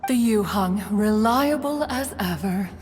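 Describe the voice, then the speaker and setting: A woman's voice: medium pitch, lively intonation, takes it slowly, a little mellow, a little hiss or hum. A woman playing a proud, ancient adeptus in a video game, speaking in formal, archaic, lofty phrases and calling herself "one". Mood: concerned, dignified. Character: stately ancient female adeptus, proud inventor, fond elder. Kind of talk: scripted video game character dialogue. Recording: game audio with long reverb, music behind.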